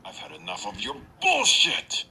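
A man's voice: high voice, wildly swooping pitch, moderate pace, creaky, bright, forceful tone, slight background noise, exaggerated voice. A man playing a tough, determined special operative in a video game, terse and commanding. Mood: urgent, shouting.

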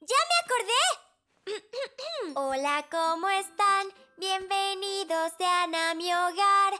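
A woman's voice: extremely high pitch, slow pace, over music. A woman voicing a cheerful five-year-old girl in a children's cartoon, with a Latin American Spanish accent and a childlike, exclamatory manner. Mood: eager. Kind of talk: children's animated musical dubbing.

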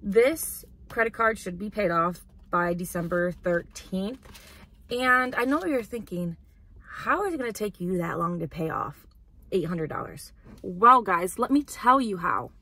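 A woman's voice: medium pitch, lively intonation, measured pace, pushed and bright, slightly rough. A woman in her twenties, chatting casually and rambling for a vlog while sitting in a parked car. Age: 20s. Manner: chatty, rambling, lots of "like" and "literally". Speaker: vlogger. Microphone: camera on dashboard.

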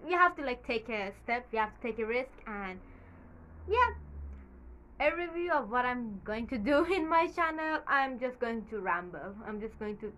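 A woman's voice: on the high side, animated, normal speed, fairly bright tone. A young woman whose first language is Farsi, chatting in a rambling, casual way with many fillers and a slightly nervous edge, recorded in a small bedroom on a camera at desk distance. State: a little nervous. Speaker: YouTuber. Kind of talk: casual movie commentary.

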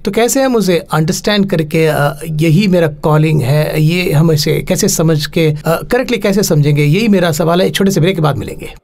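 A middle-aged man hosting a studio talk show, asking questions haltingly and mixing in English phrases. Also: a little high, vocal fry, darkish tone. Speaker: host.

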